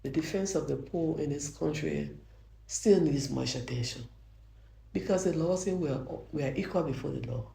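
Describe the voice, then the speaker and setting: Older woman, Liberian accent, soft voice, earnest, roomy echo. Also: low voice, normal speed, gravelly, a little hiss or hum. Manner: earnest, frequent restarts, grows emphatic. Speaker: judge. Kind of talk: interview answers, reflective storytelling.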